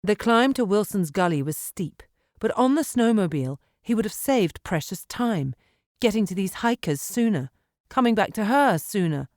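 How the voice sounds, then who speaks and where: A woman audiobook narrator reading dramatic fiction in an expressive, measured storytelling manner in a studio. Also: medium pitch, no room sound. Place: dry recording studio.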